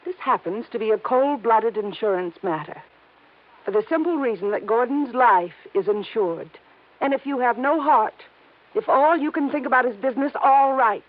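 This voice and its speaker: An anxious pleading young wife. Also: female voice, fairly high pitch, lively intonation, normal speed. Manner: pleading and emotional. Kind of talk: 1950s radio drama acting. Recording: tinny old radio broadcast.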